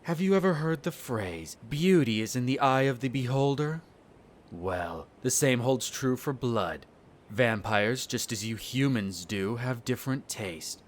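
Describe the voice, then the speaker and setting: A man's voice: medium pitch, lively intonation, a little slow, a little hiss or hum, lightly acted. Guy doing a snobby grumpy vampire noble for audio roleplay, sneery and formal, some birds in the background. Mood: irritated, dismissive. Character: haughty, irritable aristocratic male vampire, disdainful of humans. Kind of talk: roleplay audio drama acting. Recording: nature ambience with birdsong behind. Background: birdsong and garden ambience.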